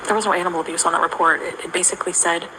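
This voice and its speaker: A woman's voice: fairly low pitch, fairly even intonation, rapid-fire, noisy background. A woman livestreaming, talking in a rambling, profane way to her chat.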